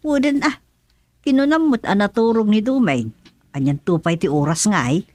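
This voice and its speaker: A woman's voice: medium pitch, expressive, normal speed, darkish tone, no room sound, close to an everyday voice. Actress as a grumbling Ilocana village mother, close-miked. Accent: Ilocano Filipino. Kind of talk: Filipino radio serial drama acting.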